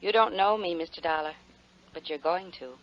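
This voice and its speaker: A woman playing a cool, mysterious, teasing young woman in a 1950s radio drama, speaking in a hushed, deliberate way. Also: lowish voice, lightly acted. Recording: muffled 1950s radio recording.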